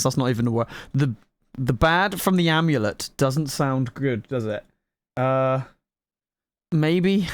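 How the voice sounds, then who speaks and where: A gaming host giving casual, excitable gameplay commentary, exclaiming and musing aloud. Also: medium pitch, no echo. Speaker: gaming host trying out a game.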